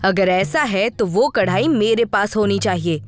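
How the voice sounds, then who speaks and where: A woman's voice: mid-range voice, animated, a little slow, faint music behind, natural voice. A woman voicing a greedy, bossy, scheming village landlady in a story, with an imperious, theatrical manner. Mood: suspicious, scheming.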